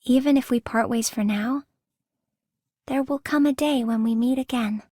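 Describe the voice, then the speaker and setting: A woman voicing a gentle, soft-spoken young woman in a video game. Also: fairly high pitch, moderate pace, no room sound, natural voice. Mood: gentle, calm. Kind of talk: video game character voice lines.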